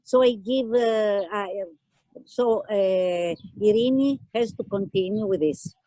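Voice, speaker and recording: A woman hosting an online business gala, talking haltingly with many fillers and restarts. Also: mid-range voice, dark tone, no room sound, low-quality recording. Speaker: host of a women's business gala. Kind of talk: hesitant speech on a video call. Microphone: video call.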